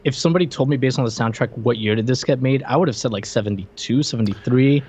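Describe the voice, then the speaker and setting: A man's voice: mid-range voice, quick pace, darkish tone, breathy edge. A man co-hosting a podcast, chatting casually about an old movie, stammering and repeating his first words. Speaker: podcast co-host. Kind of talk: casual movie review chat.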